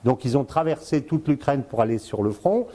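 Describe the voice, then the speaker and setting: An older man in his sixties gives his commentary at length, speaking straight to the listener. His voice is mellow, and his flow keeps breaking on fillers and repeated words before he picks the thread back up. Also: mid-range voice, lively intonation, normal speed. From born in Congo, lived in Africa, Spain, Brazil. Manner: explains at length, addresses the listener directly. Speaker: strategic affairs commentator, guest. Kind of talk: casual spoken commentary.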